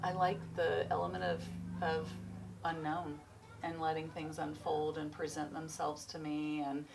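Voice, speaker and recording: A woman's voice: sits low, little pitch movement, measured pace, gravelly. A woman in her forties, a chiropractor and yoga teacher, chatting loosely in an interview and rambling a little as she goes. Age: 40s. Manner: rambling, free-spirited, laughs often. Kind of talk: casual interview chat. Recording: distant mic with faint noise.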